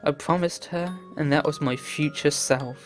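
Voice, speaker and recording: A man's voice: fairly high pitch, steady tone, moderate pace. A man reading visual novel text aloud in an earnest, theatrical way as a game playthrough host. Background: game music.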